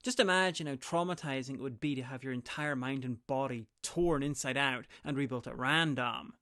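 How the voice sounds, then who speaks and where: A man's voice: mid-range voice, normal speed, fairly bright tone. A man giving a sarcastic, mocking recap and review of a TV episode, with the odd swear word. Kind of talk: comedic recap commentary.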